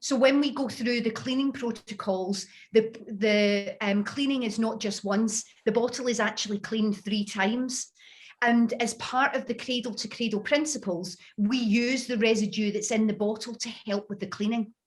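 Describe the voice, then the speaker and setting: A woman answering a question during an online webinar, explaining calmly with small stumbles. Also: fairly high pitch, moderate pace. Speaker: webinar speaker.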